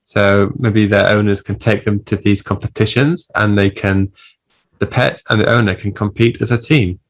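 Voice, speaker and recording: A woman from England, a teacher explaining things in a relaxed, casual chat and laughing easily. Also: a bass voice, normal speed. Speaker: English teacher, co-host. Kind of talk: casual conversational Q&A.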